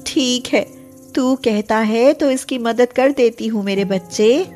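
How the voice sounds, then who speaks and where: Actress playing ghostly cartoon mother cow, slightly high voice, unhurried, earnest and consoling, faint music. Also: a little mellow. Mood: tender. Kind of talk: Hindi animated moral story acting.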